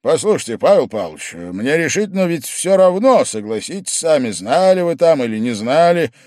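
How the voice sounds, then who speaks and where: A man voicing an irritable, proud gentleman who speaks curtly and with exasperation, in an audiobook. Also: on the high side, big pitch swings, moderate pace, dry recording, studio quality, voice pushed up. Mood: irritated. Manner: curt and exasperated.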